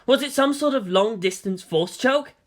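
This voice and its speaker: A man's voice: extremely high pitch, animated, moderate pace. A man giving a dramatic scripted narration of a fan fiction story.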